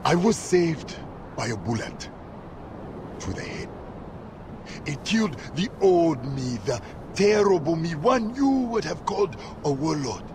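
A theatrical, wildly swooping warlord preacher. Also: male voice, a little high, very animated, unhurried, pauses often, noisy background. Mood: hushed, grave. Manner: theatrical, swings from hushed to thundering. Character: fervent gun-loving preacher, a former warlord. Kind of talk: scripture-quoting sermon in a game cutscene.

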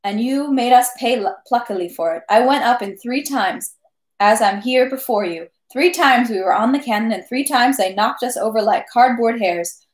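A woman's voice: on the high side, moderate pace, echoey room, lightly acted. A woman reading as a merry boastful French captain. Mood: jovial. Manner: lively, talks ceaselessly, good-natured bragging. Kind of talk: audiobook character reading.

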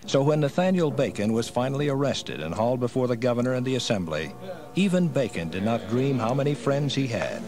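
A man's voice: mid-range voice, sing-song, a little fast. A man giving scripted narration for a dramatized history program. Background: music.

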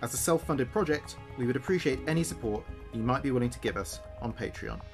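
A man delivers the scripted closing credits of an audio story. His voice sits at a middle pitch, with music playing behind it. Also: animated, fast. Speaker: writer and performer reading end credits. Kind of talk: scripted outro narration.

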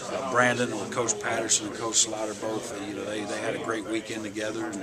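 A middle-aged man with a somewhat low voice answers reporters in a cramped media room, relaxed and conversational, leaning on "you know" as he goes. Several handheld mics sit close to him. Loud crowd chatter fills the background. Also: fast talker. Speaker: college football assistant coach. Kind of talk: press interview answers. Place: small indoor media room. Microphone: several handheld reporter mics held close.

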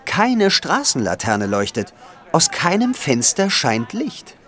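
A man's voice: fairly high pitch, wildly swooping pitch, slow, fairly bright tone. A man narrating a children's audio drama as a scripted storyteller.

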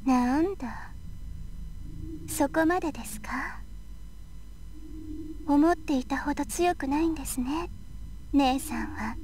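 A woman voicing a corrupted young sorceress in a Japanese visual novel, softly polite with teasing, cruel menace. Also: high-pitched, moderate pace, long pauses, slight background noise, close to an everyday voice. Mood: mocking.

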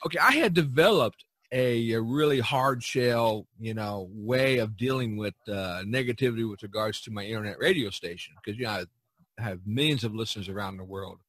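A man's voice: lowish voice, normal speed, fairly bright tone, no echo. Man chatting casually, close dry sound. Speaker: podcast host. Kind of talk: casual chat.

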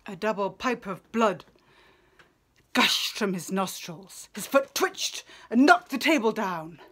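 A middle-aged woman giving a dramatic, expressive literary reading in a measured, often hushed way. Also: mid-range voice, breathy edge. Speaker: translator reading own work. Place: small plain room indoors.

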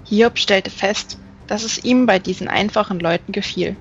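A woman reading literary narration as an audiobook, measured and careful. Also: mid-range voice, highs cut off. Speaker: audiobook reader. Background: music.

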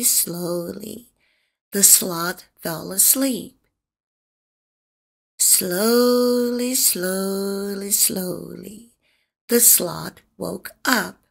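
A woman's voice: medium pitch, gravelly. A woman reading a children's storybook as host, with sing-song, carefully spaced phrasing. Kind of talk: expressive storybook read-aloud.

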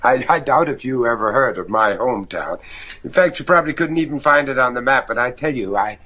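Husky, earnest American judge. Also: male voice, medium pitch, animated, brisk, pushed and bright, poor audio. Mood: wistful, grave. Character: earnest American judge, reflective, courteous, probing. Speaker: actor. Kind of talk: gothic horror radio drama acting.

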